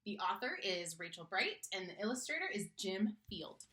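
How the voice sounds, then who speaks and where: A woman reading a children's picture book aloud in an expressive, theatrical manner, engaging young listeners. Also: medium pitch, normal speed.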